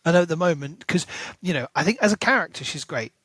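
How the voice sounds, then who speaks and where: A man co-hosting a podcast, chatting casually about a book and thinking out loud with lively rises in his voice. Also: fairly high pitch, fast talker, dry recording. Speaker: podcast co-host.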